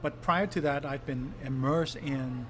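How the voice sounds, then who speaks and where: A man from Hong Kong, a politician giving earnest interview answers with many pauses and fillers. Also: medium pitch. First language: Cantonese. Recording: clip with noisy ambience.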